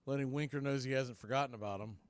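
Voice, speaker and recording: A man's voice: mid-range voice, fast, clean tone, no room sound. A man doing live radio baseball play-by-play, with punchy clipped calls and conversational asides.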